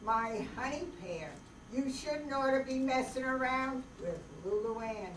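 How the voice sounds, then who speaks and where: A distant, echoey recording with heavy noise carries a radio-play gunman acted by a woman. The character is courteous even while threatening, lovesick and folksy in phrasing. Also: sits high, a little slow. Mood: polite menace. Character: folksy, polite, lovesick male gunman named Marvin. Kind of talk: old-time radio drama acting. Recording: roomy, noisy, distant microphone stage recording.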